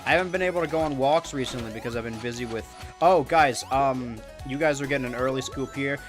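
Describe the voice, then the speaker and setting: A young American man with a monotone voice, chatting loosely while streaming a video game, rambling with many restarts. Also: medium pitch, fast, music in the background. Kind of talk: casual gameplay chat.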